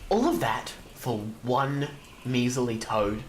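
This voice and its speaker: A man plays a nervous company man in an audio drama. He has a mid-pitched voice and talks in an officious, defensive way, over faint ambient noise. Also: sing-song, takes it slowly, poor audio. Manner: anxious, officious, pleading.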